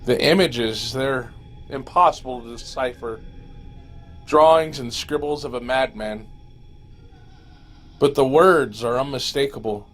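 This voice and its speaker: A man playing a lonely, weary, haunted apocalypse survivor, telling his story in an amateur audio drama with halting, dramatic pauses. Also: medium pitch, close to an everyday voice. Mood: brooding, haunted. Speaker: amateur voice actor. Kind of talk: scripted first-person audio drama narration.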